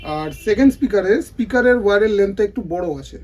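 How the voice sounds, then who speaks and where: A man's voice: high-pitched, animated, quick pace, a little mellow. A man with an Indian Bengali accent demonstrates an installation in a casual, hands-on tutorial style.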